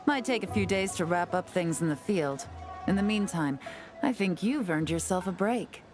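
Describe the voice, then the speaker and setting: A woman playing a composed, shrewd intelligence agent in a video game, speaking smoothly over music. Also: fairly low pitch, expressive, moderate pace, close to an everyday voice. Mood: satisfied, composed. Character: poised, shrewd adult female intelligence agent.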